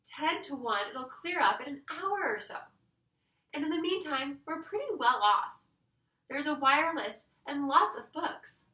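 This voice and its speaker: A woman voicing a sensible, briskly reassuring older-sister girl in a storybook. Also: high voice, animated, bright, forceful tone, pitched above the performer's own voice, heavily acted. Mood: brisk reassurance. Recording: roomy echo, distant mic.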